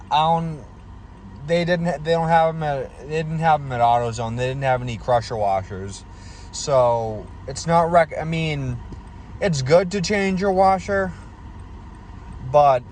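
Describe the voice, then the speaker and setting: A man, chatting casually while driving and often repeating himself and restarting his sentences. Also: medium pitch. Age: 20s. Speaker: vlogger doing car maintenance videos. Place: inside a moving car. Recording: car noise behind the voice.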